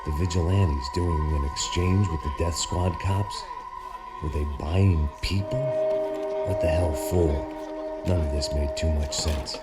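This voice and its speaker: An actor playing a weary, cynical middle-aged ex-cop, narrating in a gravelly noir voice-over for a video game. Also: male voice, a bass voice, fairly even intonation, unhurried. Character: weary hard-bitten older male ex-cop. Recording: game audio with loud music.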